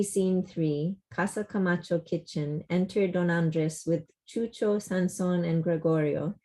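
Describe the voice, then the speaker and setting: A woman's voice: lowish voice, warm tone. A woman reading stage directions as scripted narration at a virtual play table read. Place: indoors, on a video call.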